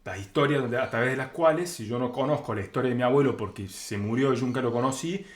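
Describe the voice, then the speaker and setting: A man's voice: medium pitch, fast talker. Argentine man teaching in an echoey room. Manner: conversational, illustrates with acted-out first-person examples. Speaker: spiritual teacher. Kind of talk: informal explanatory lecture on spiritual healing. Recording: roomy, echoing sound.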